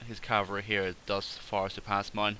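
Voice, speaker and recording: A man's voice: lowish voice, completely flat delivery, normal speed, sharp tone, slight background noise. A man with a slightly congested, stuffy voice giving casual replay commentary on a strategy game, rambling and correcting himself as he goes. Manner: rambling, self-correcting, thinking aloud. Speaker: gaming channel host.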